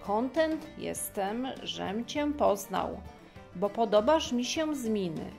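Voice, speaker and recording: A woman's voice: medium pitch, big pitch swings, takes it slowly, creaky, darkish tone, faint music behind, exaggerated voice. A woman voicing a jovial, pompous king in a rhymed fairy-tale audio drama, with a grand, exclamatory delivery. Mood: impressed.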